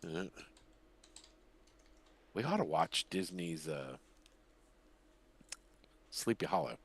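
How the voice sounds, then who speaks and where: An older man hosting a podcast in casual chat, rambling and pausing as he recalls things. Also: low voice, very animated, slightly rough. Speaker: podcast host.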